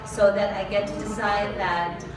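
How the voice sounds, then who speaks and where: Woman, spoken word poet, slow measured delivery, dramatic refrains, far from the mic, echoey venue with background noise. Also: mid-range voice, level tone. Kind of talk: live spoken word poetry. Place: live performance venue. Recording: roomy echo, far from mic, ambient noise.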